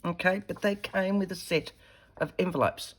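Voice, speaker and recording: Slightly croaky woman crafting. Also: on the low side, fairly even intonation, normal speed, slight background noise, low-quality recording. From Australia. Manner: chatty, talks while working with her hands. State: slightly croaky from a head cold. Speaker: craft tutorial host. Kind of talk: casual craft demonstration.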